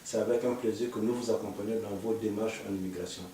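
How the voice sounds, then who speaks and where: A man hosting a studio panel talk on immigration, asking questions in a measured way with hesitant fillers. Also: fairly low pitch, fairly even intonation, warm tone, a little hiss or hum. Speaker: host, immigration consultant. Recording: echoey room, mic far off.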